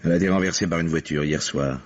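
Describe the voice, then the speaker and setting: An actor playing a stern, controlled police investigator who questions curtly and insistently in a vintage radio mystery. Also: male voice, a bass voice, very fast. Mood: grave. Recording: dull, lo-fi old radio recording.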